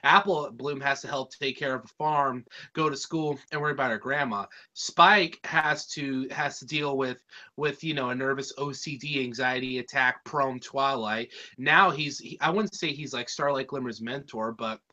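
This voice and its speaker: Bright-voiced Californian guy reviewing. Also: mid-range voice, moderate pace, highs cut off. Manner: rambling, animated, slangy. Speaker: reviewer, podcast host. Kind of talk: casual review chat.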